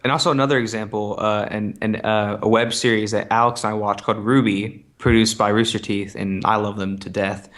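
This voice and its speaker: A man's voice: on the low side, level tone, a little fast, hardly any pauses, a bit bright. A young American man co-hosting a podcast with casual, wry chat. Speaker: podcast co-host.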